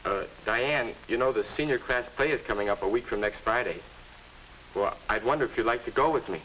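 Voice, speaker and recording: A young man playing a puzzled, halting high-school boy in a 1950s instructional film. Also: fairly bright tone, close to an everyday voice, rough recording. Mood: hesitant. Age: young adult. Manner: hesitant, musing, halting. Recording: hissy 1950s educational film soundtrack.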